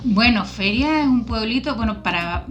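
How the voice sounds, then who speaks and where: Music plays under a woman from Chile with a fairly high voice. The sound is a little dull as she chats informally and explains as a guest. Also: animated, normal speed. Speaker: podcast guest. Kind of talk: casual chat, recounting a visit. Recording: slightly dull, band-limited sound.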